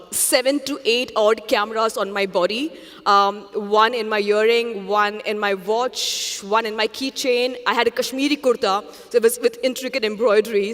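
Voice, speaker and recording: A woman's voice: fairly high pitch, a bit bright. A woman from India, answering interview questions on stage in an earnest, reflective way. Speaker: journalist, interview guest. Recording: long hall reverb.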